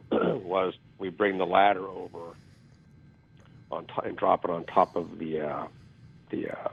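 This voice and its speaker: A man with a very low, raspy voice recounts stories in a loose, rambling way with filler ums and a self-deprecating streak. The sound is thin and phone-like, as if he is calling in. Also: steady tone, takes it slowly, pauses often. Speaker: actor guest. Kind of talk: casual interview storytelling. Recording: phone-like call audio.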